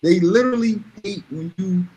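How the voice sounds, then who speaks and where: A man with a somewhat high-pitched voice speaks in a blunt, opinionated chat style. He repeats phrases for emphasis. There is some light noise behind him. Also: normal speed. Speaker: podcast panelist.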